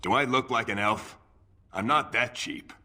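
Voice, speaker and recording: An actor playing a blunt, scornful dwarf mercenary boss with a gruff, rough voice in a video game. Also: male voice, medium pitch, measured pace, bright, forceful tone. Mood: scornful haggling. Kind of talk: video game character acting.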